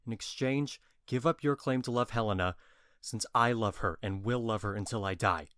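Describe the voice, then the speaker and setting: A man's voice: lowish voice, moderate pace, a bit bright, clean tone, no room sound, clean studio sound, close to an everyday voice. A man playing a bewitched young lover in a modern-English Shakespeare audio drama, earnest and blunt in his declarations.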